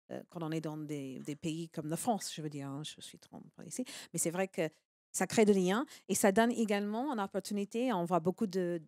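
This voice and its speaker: A woman's voice: lowish voice, darkish tone, no echo, clean studio sound. American woman speaking earnestly on a panel. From United States. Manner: earnest, emphatic, with frequent fillers. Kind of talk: panel discussion.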